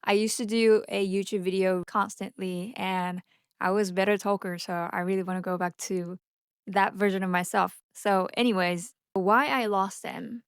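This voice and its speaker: A woman whose first language is Japanese talks casually and candidly, as if to friends on a vlog. Her voice is clean and smooth at a medium pitch, recorded close and dry with no room sound. She speaks without a script and now and then trips over a word. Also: steady tone, normal speed, dry recording. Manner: candid, conversational, stumbles over words. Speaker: vlogger and social media marketing agency owner. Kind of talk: unscripted casual chat to camera.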